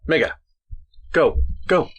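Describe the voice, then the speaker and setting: A woman's voice: takes it slowly, slight background noise. A woman talking casually to a pet.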